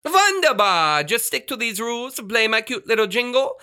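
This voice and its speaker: An actor playing a flamboyant, fussy, excitable radio star with emphatic, theatrical delivery in a children's audio drama. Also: mid-range voice, moderate pace, noisy background, close to an everyday voice. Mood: exasperated, bossy.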